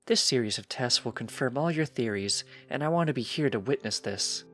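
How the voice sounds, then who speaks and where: A man's voice: mid-range voice, animated, a little fast, a bit bright, faint music behind, voice pushed up, natural voice. A man voicing a careful, fretful female pony, a precise and chiding wife in a storybook reading. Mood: reassuring.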